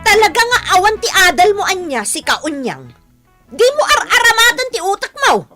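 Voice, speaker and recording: A woman's voice: extremely high pitch, sing-song, normal speed, music in the background. A woman with an Ilocano Filipino accent playing a cruel, haughty landowner who scolds with contempt in a radio drama. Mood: scornful.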